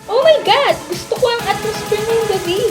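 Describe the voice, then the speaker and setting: A woman's voice: very high-pitched, animated, normal speed, over music. A woman playing a giddy, mischievous teenage schoolgirl in an amateur radio drama.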